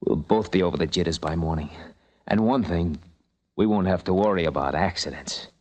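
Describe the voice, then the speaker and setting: Man acting a low-voiced, breezy radio adventure hero. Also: a little fast, a little mellow, close to an everyday voice. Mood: coaxing. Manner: slangy, breezy, tough-talking. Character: brash, wisecracking male adventurer, cajoling and determined. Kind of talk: 1940s-50s radio adventure acting, with first-person narration. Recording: vintage radio drama recording with music behind.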